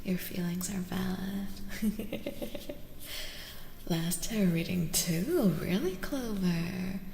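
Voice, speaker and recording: A woman speaks softly and slowly, often dropping into a breathy whisper, with a creaky edge to her voice. She is gentle and reassuring, like an ASMR streamer doing readings for her chat. The sound is roomy and reverberant. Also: lowish voice, expressive, a little hiss or hum. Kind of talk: soft-spoken ASMR, chatting with live viewers.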